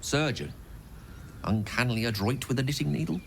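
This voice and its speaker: A man's voice: medium pitch, expressive, a little fast, vocal fry, fairly bright tone, a little hiss or hum. A man playing a genteel, erudite wizard in a video game, wordy, courteous and wryly witty in his phrasing. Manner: eloquent, flowery, playful phrasing. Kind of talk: theatrical video game dialogue acting.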